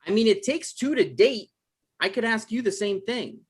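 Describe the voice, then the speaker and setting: A man's voice: high-pitched, expressive, slightly rough. A man playing an awkward, apologetic young man who turns dryly sarcastic when cornered, in a comedy table read. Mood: defensive. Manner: hesitant, halting, dry.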